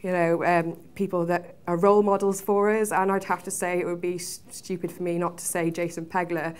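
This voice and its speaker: A woman with a slightly low voice and very even intonation. She talks informally, giving a testimonial as someone attending a seminar. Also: a little fast, clean tone.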